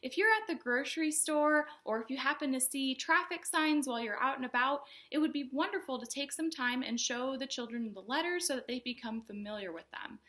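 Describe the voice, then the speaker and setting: A woman hosting a storytime for young children, speaking in simple explanatory phrases with an animated, sing-song delivery. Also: high-pitched. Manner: animated, exaggerated questions and exclamations for young children. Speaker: children's librarian and storytime host.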